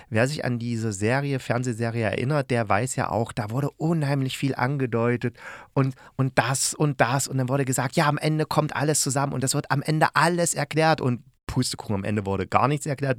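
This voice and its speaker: Man, podcast host, medium pitch, casual review style, loose meandering delivery, thinking aloud, dry sound. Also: no echo. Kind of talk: casual spoken radio-play review.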